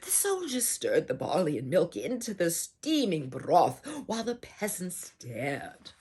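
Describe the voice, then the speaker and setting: Wildly expressive, breathy woman storyteller. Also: on the low side, measured pace. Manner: dramatic, expressive, with gasps and hushed asides; addresses listeners with doting endearments. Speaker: storybook reader and host. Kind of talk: theatrical read-aloud of a children's storybook.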